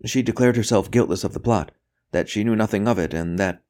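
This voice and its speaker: A man voicing a captive young priest in an audiobook, frightened but steadfast, answering in a hushed, halting, guarded way. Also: on the low side, pitched above the performer's own voice, close to an everyday voice. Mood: pained, careful. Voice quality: hushed, breathy murmur.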